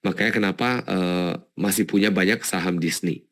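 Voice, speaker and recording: A man's voice: sits low, normal speed, a bit bright. A man presenting a stock analysis webinar in an informal, explanatory way, thinking aloud and repeating words as he goes. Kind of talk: informal live webinar commentary.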